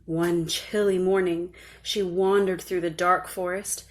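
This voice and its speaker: A woman storyteller reading a scripted children's tale in a measured, expressive way. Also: lowish voice.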